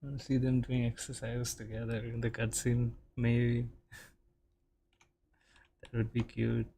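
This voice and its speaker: A man giving casual, low-key reaction commentary in short musing remarks while playing a game. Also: completely flat delivery, unhurried, vocal fry, mellow, slight background noise. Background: game music.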